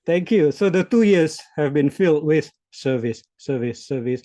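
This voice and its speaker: A man in his sixties from Malaysia, a Malay speaker, speaking reflectively as an interview guest, with hesitations and soft laughs. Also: a little high, animated, normal speed, dry recording. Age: 60s. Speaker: CEO coach guest. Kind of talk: interview conversation.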